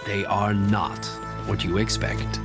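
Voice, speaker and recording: An actor playing a solemn, wise male narrator of a scripted animated fairy tale. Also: fairly low pitch, slow pace. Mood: solemn, measured. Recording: music under the narration.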